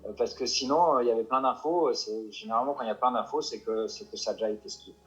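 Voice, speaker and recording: A mountain guide answering interview questions, hesitant and full of restarts and fillers. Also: male voice, medium pitch, fast talker, muffled recording, soft background music. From Paris suburbs.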